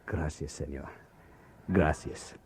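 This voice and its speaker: An actor playing an anguished father with a Spanish accent, pleading formally and haltingly for his son in a radio drama. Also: a bass voice, vocal fry, background noise, full character voice. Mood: humble pleading. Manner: formal, pleading, halting non-native phrasing. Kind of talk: 1950s radio drama acting.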